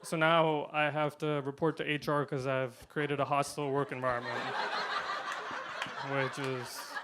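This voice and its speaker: Higher-voiced male stand-up comedian. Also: unhurried, heavy background noise. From India. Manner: deadpan punchlines, shifting loud and soft. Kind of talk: stand-up set.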